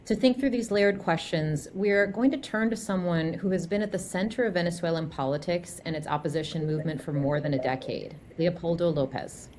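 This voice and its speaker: Una conduttrice di podcast legge un'introduzione scritta con tono serio e misurato. La voce è piuttosto bassa per una donna. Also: female voice, a little fast, few pauses, a little mellow, a little hiss or hum. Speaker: podcast host. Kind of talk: scripted narration.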